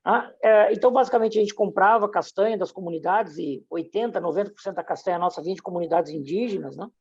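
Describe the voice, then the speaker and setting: A man's voice: sits high, fast, vocal fry, a little mellow, breathy edge. A middle-aged man, telling his story casually and often stammering over short, repeated words. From southern Brazil. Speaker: interview guest, entrepreneur. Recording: slightly dull, muffled audio.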